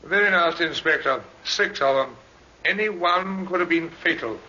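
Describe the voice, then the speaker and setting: An actor playing a brisk, clinical police doctor in an old radio crime drama. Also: male voice, fairly high pitch, pushed and bright. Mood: grave, clinical. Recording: muffled old radio recording with hiss.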